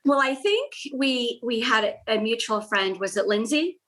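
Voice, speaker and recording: A woman's voice: on the high side, animated, moderate pace, a bit bright. A middle-aged woman born in the United States, whose first language was Portuguese, speaking as a guest and reflecting with many "um"s and "you know"s. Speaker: salon owner guest. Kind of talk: casual interview chat.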